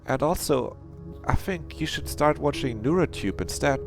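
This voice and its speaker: Man doing a higher-pitched timid game character. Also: animated, normal speed, vocal fry, over music. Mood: timid, hesitant.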